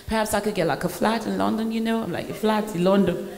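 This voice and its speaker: A Nigerian woman hosts a live session, telling stories in an animated, laughing way. Her voice sits at an ordinary pitch and rings through a large echoey hall. A faint noise hangs underneath. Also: fast, gravelly. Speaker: host. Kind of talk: lively onstage conversation.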